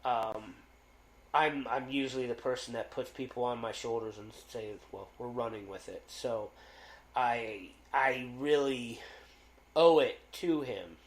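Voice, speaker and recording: A man co-hosting a casual podcast, loud-voiced and rambling, with lots of fillers and restarts. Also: mid-range voice, a little slow, echoey room, rough recording. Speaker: podcast co-host.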